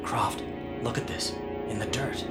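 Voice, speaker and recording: An actor playing Duclair, a practical war-veteran trooper investigating tunnels in a radio drama. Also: male voice, mid-range voice, fairly even intonation, a little slow, natural voice. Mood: grim. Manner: grim, practical, rising to panic. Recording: music bed under dialogue.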